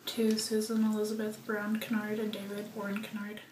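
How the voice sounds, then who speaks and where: A woman in her twenties from Colorado, making deadpan jokes about her roommate. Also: mid-range voice, brisk. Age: 20s. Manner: deadpan joking. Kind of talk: casual chat to camera. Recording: echoey room, faint background noise.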